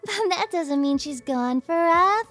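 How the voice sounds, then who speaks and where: A woman's voice: extremely high pitch, lively intonation, fairly bright tone, faint music behind, exaggerated voice. A woman playing a playful, taunting young female trickster in an ASMR audio roleplay, with a coy, mock-innocent way of drawing out her words. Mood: sly, coaxing. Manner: teasing, coy, mock-innocent, drawn-out phrasing. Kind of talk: ASMR audio roleplay, scripted character acting.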